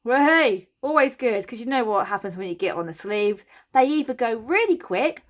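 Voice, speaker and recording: A woman hosting a crochet tutorial, chatty and reassuring as she talks through each step, her voice a little croaky. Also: a little high, animated, moderate pace. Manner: chatty and reassuring, thinks aloud while working. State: slightly croaky voice.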